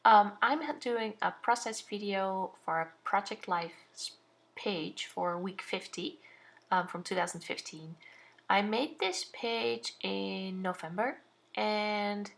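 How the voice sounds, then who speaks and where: Young Dutch-accented woman, hesitant crafting voice-over, mid-pitched with a touch of vocal fry. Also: takes it slowly, fairly bright tone. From Netherlands. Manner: hesitant, many fillers and restarts. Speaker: scrapbooking channel host.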